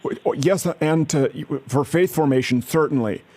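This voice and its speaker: A man's voice: fairly high pitch, moderate pace, dark tone. A man making a prank phone call, pushy and falsely sincere, often stammering and restarting his sentences. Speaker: prank caller.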